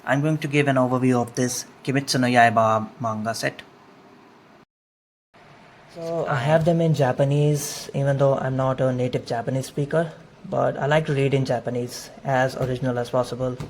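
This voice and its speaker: A man whose first language is not English, casually showing his manga collection in an unhurried, friendly way and dropping Japanese terms into his English. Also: medium pitch, fairly even intonation. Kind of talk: casual show-and-tell overview. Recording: faint noisy room ambience. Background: faint background noise.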